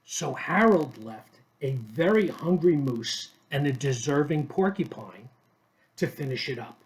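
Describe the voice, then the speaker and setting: A man reads a children's storybook aloud at an unhurried pace. His pitch rises and falls in a lively way, and he leaves pauses between short phrases. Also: low-quality recording. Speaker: storybook reader.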